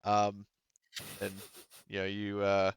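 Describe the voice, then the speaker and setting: A man working as game master, narrating a tabletop roleplaying game casually and haltingly as he thinks aloud. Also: fairly low pitch, monotone, smooth, no room sound, studio quality.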